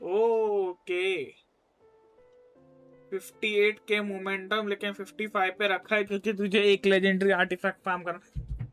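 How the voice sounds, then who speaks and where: Very fast-talking Indian game streamer. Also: mid-range voice, little pitch movement, fairly bright tone, clear, resonant tone, soft background music. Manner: code-switches between Hindi and English. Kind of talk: casual live gameplay commentary.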